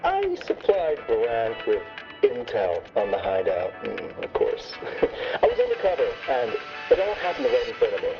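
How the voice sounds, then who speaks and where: A sly, evasive male game character, bluffs theatrically in a hugely animated voice. Loud music sits behind the slightly dull recording. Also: mid-range voice, a little slow. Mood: sheepish bluffing. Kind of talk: video game voice acting.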